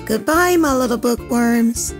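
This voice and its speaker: A woman's voice: a little high, animated, a little slow, over music. A woman reading a children's storybook as host, with sing-song, carefully spaced phrasing. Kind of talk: expressive storybook read-aloud.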